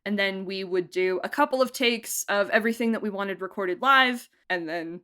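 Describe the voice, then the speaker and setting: A woman talking through behind-the-scenes stories in a relaxed, chatty way, full of fillers and quick laughs. Her voice sits at an ordinary middle pitch. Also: clean tone. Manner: chatty, animated, laughs often, uses "like" a lot. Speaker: producer and director of an audio drama. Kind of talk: casual interview conversation.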